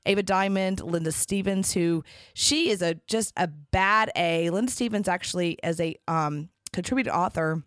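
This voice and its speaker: A woman hosting a podcast in a casual, rambling monologue, her voice raspy and hoarse from being sick. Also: fairly low pitch, moderate pace, hardly any pauses, no echo, clean studio sound. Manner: rambling and chatty, with frequent restarts. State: hoarse from a respiratory infection. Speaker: podcast host.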